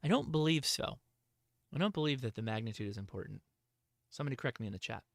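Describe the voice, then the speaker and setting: A man's voice: medium pitch, animated, fast talker, creaky, no echo, studio quality. A man hosting a livestream, answering chat questions in a casual, informal, enthusiastic hobbyist way.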